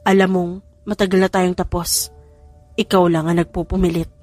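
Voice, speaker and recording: A woman's voice: fairly low pitch, level tone, moderate pace, a little mellow, dry recording, soft background music, natural voice. A woman voicing a firm, guarded young woman in a romance audiobook. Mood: firm, pained.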